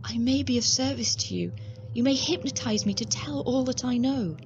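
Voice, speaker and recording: An actress in a radio drama playing a frail, devoted wife who speaks earnestly, as if half in a trance. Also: female voice, sits high, natural voice. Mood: pleading. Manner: slow, trancelike, earnest, often hushed. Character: Mina, frail, entranced, devoted young wife. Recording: muffled sound, clear ambient noise.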